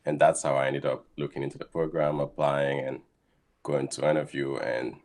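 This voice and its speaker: Very deep-voiced man. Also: flat delivery, normal speed, darkish tone. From Haiti. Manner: thoughtful, explains steadily with frequent ums. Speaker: guest scientist. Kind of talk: casual interview.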